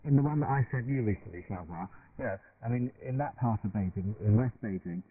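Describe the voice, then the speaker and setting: A man's voice: lowish voice, fairly quick. A man chatting casually as a panelist in a radio discussion. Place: radio studio. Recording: distant, noisy, tinny shortwave radio reception.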